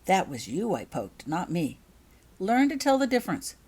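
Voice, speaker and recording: Woman as a kindly, firm school matron, lively intonation. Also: on the low side, normal speed, husky. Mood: firm, encouraging. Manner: encouraging, patient, instructing.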